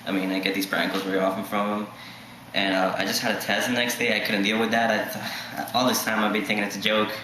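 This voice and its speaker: Flat-voiced nineteen-year-old man, bright tone. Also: on the low side, quick pace. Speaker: student interviewee. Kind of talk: mock documentary testimony. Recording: echoey room with faint noise.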